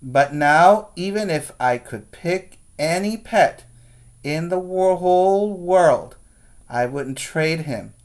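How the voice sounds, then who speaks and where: A man's voice: fairly high pitch, expressive, takes it slowly. A man teaching preschoolers, speaking in an instructive, question-and-answer way as he reads aloud and guides young children.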